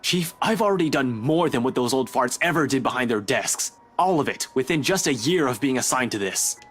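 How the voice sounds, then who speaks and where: A man's voice: medium pitch, expressive, fairly quick, fairly bright tone, breathy edge, soft background music. An actor playing a hot-headed young male police detective in a game, defiant and indignant. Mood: angry, defensive. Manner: indignant, pleading. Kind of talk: visual novel voice acting.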